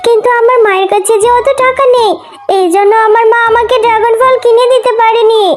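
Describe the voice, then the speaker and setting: A woman's voice: very high-pitched, fairly even intonation, a little fast. A woman voicing a sweet, earnest little fox child, childlike and coaxing, in a children's cartoon story. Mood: eager, wistful. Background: music.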